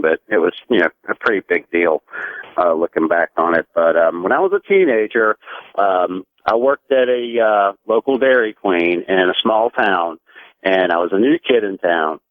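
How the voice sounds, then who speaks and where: A man's voice: fairly low pitch, animated, normal speed, no room sound. An American man in his forties calling a radio show, earnestly recounting a personal story in a conversational way. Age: 40s. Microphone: telephone. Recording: tinny narrow phone line on radio.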